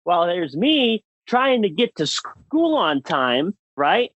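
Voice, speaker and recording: A man's voice: high-pitched, very animated, takes it slowly, a bit bright, breathy edge, no echo. Young American man, podcast co-host, emphatic and exasperated comic ranter, swooping intonation, dry dull recording. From United States. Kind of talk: comedic rant on a podcast.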